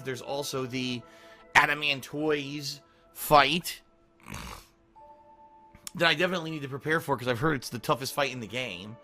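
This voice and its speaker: A male streamer with a hoarse, worn voice, casually running through his stream schedule, often clearing his throat and checking in with "okay?". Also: mid-range voice, pushed and bright. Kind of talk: casual pre-stream schedule talk to chat.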